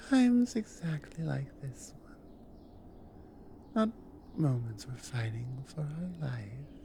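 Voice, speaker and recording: Woman acting a sleepy devoted nordic adventurer wife, asmr roleplay, slow n mellow, breathy half whispers, bit of background noise. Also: sits low, exaggerated voice. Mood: tender, wistful. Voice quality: breathy, often slipping into whisper. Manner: slow, soft, tender with pauses.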